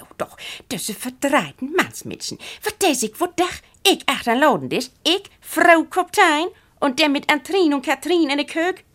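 A woman performing a proud, chatty village widow in Low German dialect, as an animated dramatic reading. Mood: defiant. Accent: Low German, northern Germany.

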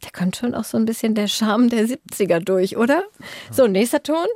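A woman's voice: fairly high pitch, expressive, normal speed, darkish tone, no echo, clean studio sound. A woman hosting a podcast in casual conversation. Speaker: podcast host.

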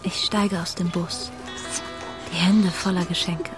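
A woman's voice: on the low side, flat delivery, a little slow, natural voice. An actress playing an anxious, tender working mother in a radio drama, hesitant and wistful, with music underneath.

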